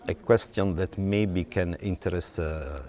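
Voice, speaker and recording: A man working as an interviewer, putting questions in halting, non-native English. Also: low voice, mellow.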